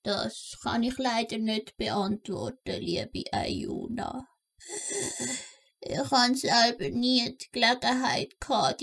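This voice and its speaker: A woman with a Swiss German accent playing a kindly elderly gnome grandmother, telling things slowly in a children's audio play. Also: on the high side, husky, exaggerated voice. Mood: wistful, caring.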